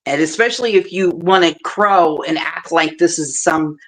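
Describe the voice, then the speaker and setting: A low-pitched woman talks casually on a livestream. She sounds stuffed up and nasal with a cold-like congestion, and she is blunt and sweary in her delivery. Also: moderate pace, fairly bright tone. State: congested, coughing. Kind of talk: casual chat.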